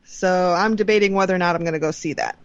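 A woman's voice: on the low side, fast talker, smooth, no room sound, muffled recording. A woman co-hosting a podcast in casual, informal, sweary chat. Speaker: podcast co-host.

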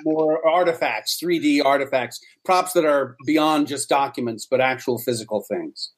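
A man's voice: medium pitch, moderate pace, low-quality recording. A man talking as a guest in a casual interview, explaining details.

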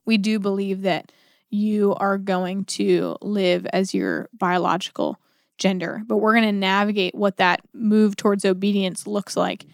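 A woman's voice: medium pitch, a little slow, vocal fry, no room sound, studio quality. A woman co-hosting a podcast, talking earnestly and conversationally about faith, often posing rhetorical questions and voicing imagined quotes. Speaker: podcast co-host. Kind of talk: conversational religious discussion.

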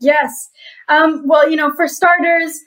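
A woman's voice: high-pitched, steady tone, takes it slowly, a bit bright, rough recording. A young woman from Bali, an activist guest answering interview questions in an earnest, reflective way with frequent fillers. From Bali, Indonesia. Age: young adult.

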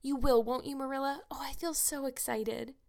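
A woman's voice: high-pitched, animated, brisk, pitched above the performer's own voice. A woman voicing an eager, chattering young orphan girl who pleads breathlessly. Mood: excited.

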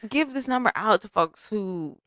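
A woman hosting a casual podcast chat, quick to react and joke. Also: mid-range voice, lively intonation, a bit bright, dry recording. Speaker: podcast host.

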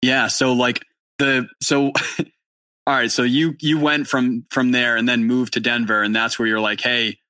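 A young man from New England, hosting a casual podcast interview, excitable and swearing freely. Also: mid-range voice, measured pace, no echo. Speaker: podcast host. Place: barn studio in rural Maine.

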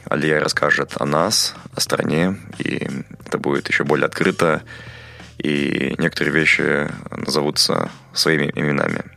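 A podcast host speaks in a measured, earnest way. His voice sits extremely low and rumbles with gravelly fry, and he is still getting over a cold. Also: little pitch movement, sharp tone, no echo. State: recovering from a cold. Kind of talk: podcast intro and interview questions.

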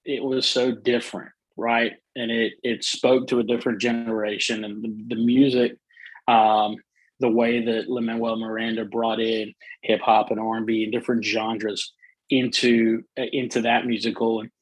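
A middle-aged man talks eagerly in a casual interview, his soothing voice a little husky. The sound is dry and close, with no room behind it. He restarts often and fills pauses. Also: on the low side, level tone, no echo, rough recording. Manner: enthusiastic, many fillers and restarts. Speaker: guest.

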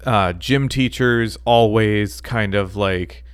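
A man co-hosting a podcast in casual chat, talking haltingly with many ums and restarts. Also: lowish voice, smooth, no echo. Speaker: podcast co-host.

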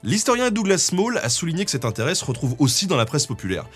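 A man's voice: on the high side, very animated, quick pace, soft background music. A man with a French accent co-presenting history, explaining a script in a conversational way with frequent tag words. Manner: conversational, peppered with "hein" tags. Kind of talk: semi-scripted explanatory narration.

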